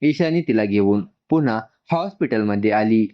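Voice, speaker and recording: A man's voice: lowish voice, animated, normal speed, muffled recording. A man narrating a scripted story as a storyteller, voicing its dialogue along the way.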